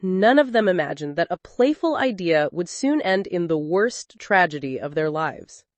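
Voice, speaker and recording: A woman's voice: lowish voice, very animated, normal speed, no room sound. A woman narrating a scripted dramatic story as a storyteller.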